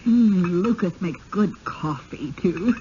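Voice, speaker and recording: An actress playing a gracious, eager married woman in a 1970s radio drama, polite, effusive and gently persuasive. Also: on the low side, expressive, slow pace. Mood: placating. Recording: dull, noisy old radio broadcast.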